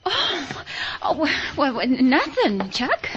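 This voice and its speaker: A spirited young frontier woman, coy and impatient, with a high voice that swings widely. The radio western sounds dull and old. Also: wildly swooping pitch, takes it slowly, fairly bright tone, slight background noise, exaggerated voice. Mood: mischievous. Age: young adult. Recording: dull vintage radio recording.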